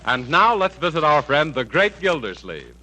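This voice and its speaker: A man's voice: a little high, sing-song, normal speed, rough recording. A man announcing in a 1940s radio commercial style. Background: loud music.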